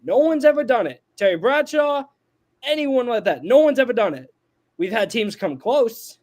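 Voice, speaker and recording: A man's voice: very high voice, sing-song, normal speed, a little mellow. A man in his twenties on a sports podcast, making his points emphatically and repeating them for stress. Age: 20s. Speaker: podcast panelist. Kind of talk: casual sports debate.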